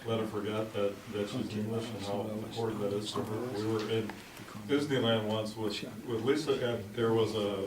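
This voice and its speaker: A man giving a wedding toast, telling stories in a chatty, halting way with many fillers. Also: lowish voice. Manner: anecdotal, lively, with halting fillers. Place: large reception hall. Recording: roomy, distant, noisy home video.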